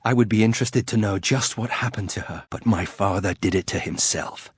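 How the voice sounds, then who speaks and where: A man's voice: fairly low pitch, a little fast, husky, no room sound, clean studio sound. An actor playing a proud, brooding young nobleman turned reluctant warrior in a fantasy video game, formal, terse and bitter. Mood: bitter, resentful.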